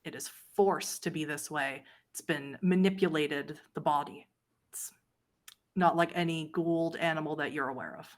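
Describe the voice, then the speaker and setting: A woman with a fairly low voice leads a tabletop roleplaying game. She narrates scenes and rulings as game master, with a storyteller's dramatic delivery. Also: moderate pace.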